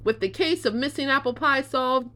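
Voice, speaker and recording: A woman reading a children's bedtime story aloud as an expressive storyteller. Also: high voice, normal speed.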